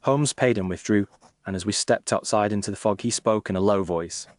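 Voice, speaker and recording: A man narrates a Victorian detective tale in a low register. His delivery is measured and literary, with formal phrasing. The sound is dry and close, with hardly any room to it. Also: no echo.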